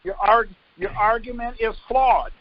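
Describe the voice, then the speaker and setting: High-pitched man, insistent and corrective. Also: unhurried, lo-fi sound. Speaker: call leader and instructor. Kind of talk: explaining and debating on a conference call.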